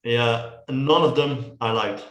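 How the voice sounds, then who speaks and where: A man's voice: medium pitch, measured pace, a bit bright. A middle-aged man with a Dutch accent, a guest in a casual chat on a video call, talking with many restarts and repeated words. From Netherlands. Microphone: headset on a video call. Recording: roomy echo.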